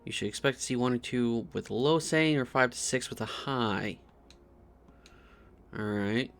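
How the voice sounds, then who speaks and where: A man's voice: medium pitch, moderate pace, vocal fry. A man giving casual playthrough commentary as a gaming streamer, sometimes reading on-screen text aloud. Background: game music.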